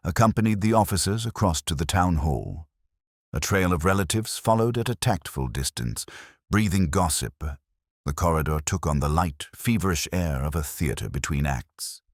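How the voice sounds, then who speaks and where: A man narrating a mystery story as a scripted reading, in a measured, dryly literary manner. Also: very deep voice, no echo, studio quality.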